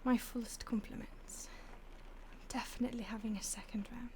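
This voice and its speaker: A woman playing an ancient, detached vampire stalker in an intimate audio roleplay, with a breathy voice that often drops to a whisper and a languid, teasing manner. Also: on the high side, steady tone, moderate pace, dark tone, natural voice. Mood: hungry, savoring. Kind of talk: intimate audio roleplay, ASMR-like acting addressed to the listener.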